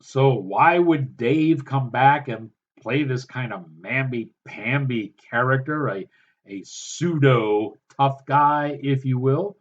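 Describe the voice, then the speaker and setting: A man reviewing a film in a sardonic, halting way, with many fillers. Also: mid-range voice, muffled recording. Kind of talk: casual film review commentary.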